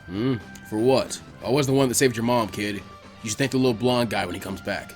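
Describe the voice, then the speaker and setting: A man voicing a gruff, weary, laid-back ninja mentor in a dramatic story reading, with dry, low-key muttering. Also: fairly quick, darkish tone, soft background music, close to an everyday voice. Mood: calm, frank. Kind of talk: dramatic fan fiction character reading.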